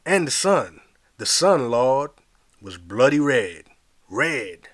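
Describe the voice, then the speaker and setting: A man voicing a fiery preacher who shouts to his congregation in rhythmic call and response. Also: medium pitch, sing-song, slow, no echo, clean studio sound. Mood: preaching.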